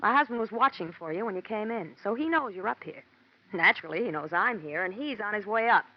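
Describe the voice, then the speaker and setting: An actress playing a cool, scheming wife, a femme fatale in an old radio detective drama, insistent and knowing. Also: female voice, mid-range voice, animated, quick pace, natural voice. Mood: cool, threatening. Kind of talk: 1940s-50s radio detective drama acting. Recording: dull vintage radio recording.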